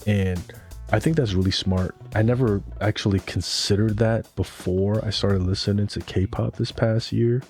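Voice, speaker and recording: A very low-voiced man speaks in loose, slangy reaction-video chat, swearing now and then. His voice is worn and hoarse from a long session of talking, and faint music plays behind him. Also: fairly even intonation, normal speed, darkish tone. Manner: slangy, laid-back, swears casually. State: hoarse, tired voice. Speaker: music producer reacting to an album. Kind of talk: casual reaction commentary.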